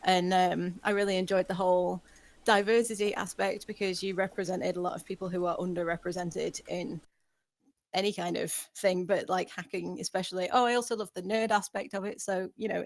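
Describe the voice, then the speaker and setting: A woman's voice: lowish voice, fairly even intonation, fairly quick, vocal fry, no room sound. A woman giving casual feedback in a group chat. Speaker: table read participant giving feedback.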